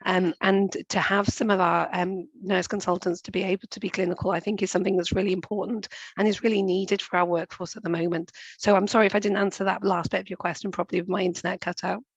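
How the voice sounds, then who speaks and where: Croaky woman on a dull video call. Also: mid-range voice, level tone, fast, few pauses, dry recording. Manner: apologetic, hesitant with many "um"s. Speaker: staff member joining remotely to answer. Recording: dry, dull lo-fi call audio.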